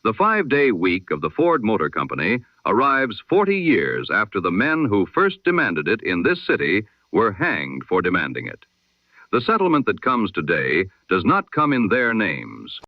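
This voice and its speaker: A man reading a history broadcast in the style of an old-time radio newsreader, measured, solemn and formal. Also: lowish voice, huge pitch swings, no room sound, lo-fi sound.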